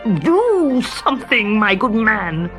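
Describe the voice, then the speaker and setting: A woman's voice: fairly high pitch, sing-song, slow pace, fairly bright tone, soft background music, heavily acted. A woman voicing an impatient, greedy fat king in a children's storybook, in an imperious, exclamatory way. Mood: cross, bossy. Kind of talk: storybook character voice.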